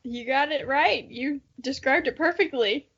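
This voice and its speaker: A young woman with a high voice reads aloud and drops in casual, jokey asides. She co-hosts in a self-mocking, playful manner. The recording is dull and lo-fi. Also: unhurried, fairly bright tone. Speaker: podcast co-host. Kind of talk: reading aloud with casual commentary. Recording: dull, lo-fi audio.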